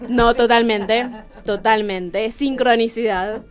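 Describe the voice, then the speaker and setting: An Argentine woman with a Rioplatense accent, a talkative and expressive storyteller in conversation who acts out remembered dialogue. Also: a little high, takes it slowly. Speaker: playwright, radio show guest. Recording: music behind the voice. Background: music.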